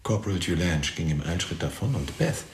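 Casting: suave middle-aged doctor under questioning, low gravelly voice, pompous and precise, radio play. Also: male voice, lively intonation. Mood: musing, sly. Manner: formal, precise, pompous wording with deliberate pauses. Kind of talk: radio drama acting, monologue testimony. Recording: roomy echo, faint background noise.

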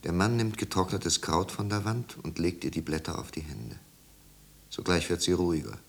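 A man narrating a literary radio drama in a measured, grave and dramatic manner. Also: low-pitched, creaky, husky.

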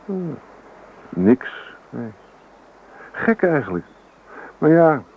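Casting: carefree young boyfriend in radio drama, teasing, lively swings in pitch, muffled old recording. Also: male voice, drawn-out words, creaky, slight background noise, full character voice. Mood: light, amused. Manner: teasing, nonchalant, coaxing. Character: teasing, carefree young man courting his girlfriend. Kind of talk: Dutch radio drama dialogue.

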